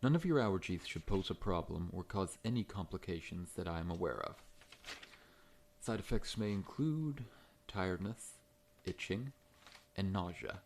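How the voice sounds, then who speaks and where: A man playing a large, friendly scorpion monster dentist in an ASMR roleplay, talking in a gentle, reassuring, professional way. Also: sits low, animated, moderate pace, a little hiss or hum. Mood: calm, professional.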